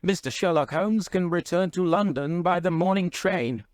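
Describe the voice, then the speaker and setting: A flustered, deferential schoolmaster played by a male narrator in a classic story. He sounds browbeaten and agitated, with his pitch pushed up and very little room around the voice. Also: normal speed, dry recording, clean studio sound, close to an everyday voice. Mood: flustered, yielding. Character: agitated, browbeaten older schoolmaster doctor, indecisive.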